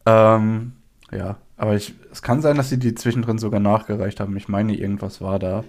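A man with a fairly low voice hosts a relaxed podcast chat. He has a cold and sounds a bit stuffed up, and he talks in an easygoing, conversational way. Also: a little fast. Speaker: podcast co-host.

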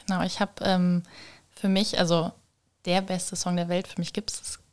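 A woman guest chatting casually on a podcast, tentative and halting, letting her sentences trail off. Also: on the low side, little pitch movement, clear, resonant tone. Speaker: podcast guest, communication designer. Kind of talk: casual chat.

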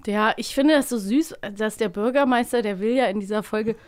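A woman's voice: a little high, fairly quick, a little mellow, a little hiss or hum. Chatty woman hosting a podcast. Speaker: podcast host. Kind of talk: casual podcast chat.

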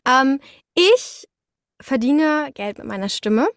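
A woman's voice: sits high, animated, slow, dry recording, clean studio sound. A woman, a voice actress, chatting casually as an interview guest from a small home recording booth. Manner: informal, conversational. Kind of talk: casual chat, interview answers.

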